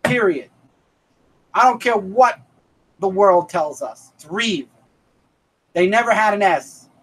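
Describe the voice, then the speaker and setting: A man's voice: high voice, expressive, slow, a bit bright, low-quality recording. A man in his thirties hosting a casual comic review live stream, upbeat and thanking viewers by name. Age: 30s.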